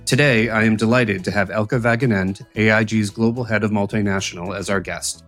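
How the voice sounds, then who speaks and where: A man hosting a podcast, reading his introductions and questions in a formal, measured way. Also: on the low side, fairly even intonation, a bit bright, faint music behind. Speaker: podcast host. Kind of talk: scripted interview questions.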